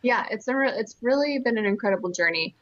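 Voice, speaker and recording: A woman's voice: fairly high pitch, animated, fast, a bit bright, a little hiss or hum. Earnest woman, dull lo-fi audio. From California, born in Costa Rica. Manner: earnest, enthusiastic, emphatic repetition. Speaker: interview guest, nonprofit fundraiser. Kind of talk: conversational interview storytelling.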